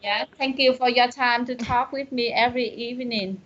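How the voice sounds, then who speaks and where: A woman with a Vietnamese accent, a student speaking English in an online lesson, halting and searching for words with many restarts. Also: a little high, steady tone, a bit bright, lo-fi sound.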